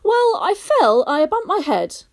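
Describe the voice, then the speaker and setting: A bright, high-pitched little boy, earnest and insistent, swooping up whenever he explains himself, as a woman puts him on. His voice rides well above an adult's, with a lively, bouncing lilt. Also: unhurried, exaggerated voice. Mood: eager. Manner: earnest, protesting. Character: eager earnest young boy.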